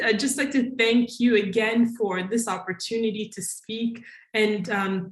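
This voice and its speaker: A woman from Congo speaks at a conference in a mid-range voice. She talks in a thoughtful, searching way, pausing with fillers and reworking her sentences. Her voice carries a noticeable room echo. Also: mid-range voice. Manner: thoughtful, with frequent restarts and fillers. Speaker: conference panelist. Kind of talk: conference talk.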